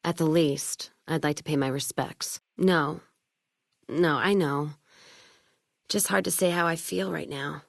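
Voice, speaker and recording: A woman's voice: sits low, steady tone, unhurried, vocal fry, no echo, studio quality, full character voice. A woman playing a tough, foul-mouthed, vengeful caravan trader in a video game, talking in a blunt, folksy, wry way. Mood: somber, guilty. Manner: blunt, folksy, wry drawl of plain talk. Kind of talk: video game dialogue acting.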